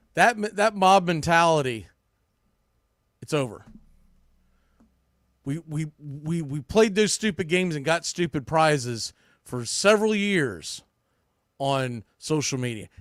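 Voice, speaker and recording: A man's voice: a little high, dry recording, clean studio sound. A man hosting a sports podcast, giving a blunt, opinionated recap with emphatic repetition and mild swearing. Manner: blunt, ranting, mildly profane; emphatic, repeats phrases for punch. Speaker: podcast host, sports commentator.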